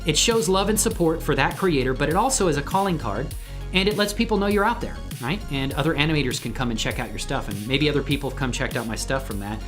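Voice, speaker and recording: A man's voice: a little high, animated, fast talker. A man hosting a casual livestream, talking in an informal, enthusiastic, rambling way. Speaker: livestream host and hobby 3D animator. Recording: music faintly behind the voice. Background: music.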